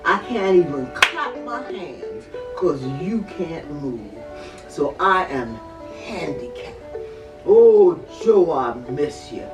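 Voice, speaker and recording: A woman's voice: lowish voice, voice pushed down. An older woman voicing an emotional talking hand from a story, pleading and repeating itself devotedly. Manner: pleading, repeats the name it calls. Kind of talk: storybook character voice. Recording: roomy echo, distant mic, music behind.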